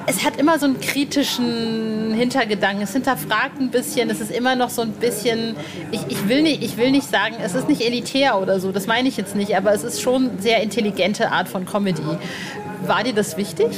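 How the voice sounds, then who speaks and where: A woman's voice: a little high, fairly quick, crowd noise. A woman interviewing a guest in a casual, chatty podcast style.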